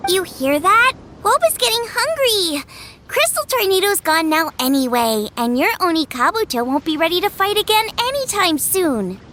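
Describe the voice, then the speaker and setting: A woman's voice: very high voice, sing-song, moderate pace, pushed and bright, a little hiss or hum, full character voice. A woman voicing a busy, practical, chatty teenage girl chef in a video game. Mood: teasing. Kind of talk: animated video game voice acting.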